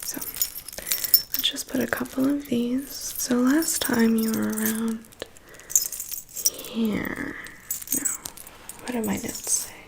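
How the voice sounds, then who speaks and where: A woman performing an ASMR personal-attention roleplay as a vampire's assistant, whispering in a soft, gently attentive and reassuring manner. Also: fairly high pitch, steady tone, slow, gravelly, long pauses, noisy background. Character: vampire's assistant doing a gentle, methodical medical inspection.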